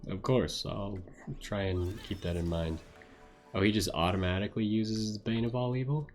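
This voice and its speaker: A man with a low voice gives casual commentary while streaming a game. He sounds laid-back, musing aloud as he goes. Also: moderate pace, a little mellow, faint music behind.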